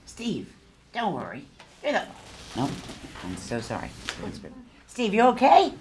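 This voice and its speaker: Actress doing crazy old lady, blunt and nostalgic, takes her time, big swoops in pitch, live table read. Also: wildly swooping pitch, measured pace, darkish tone, full character voice. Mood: reassuring, blunt. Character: blunt, crazy old widowed female restaurant worker Gertrude. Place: theater stage, live show. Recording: live stage recording, faint background noise.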